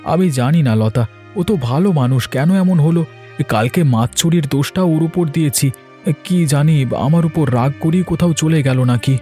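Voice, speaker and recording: A man's voice: on the high side, moderate pace, warm tone, lightly acted. A man voicing an anxious, guilt-ridden village man in a fairy tale cartoon. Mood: worried, regretful. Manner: agitated, stammering when alarmed. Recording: voice over background music.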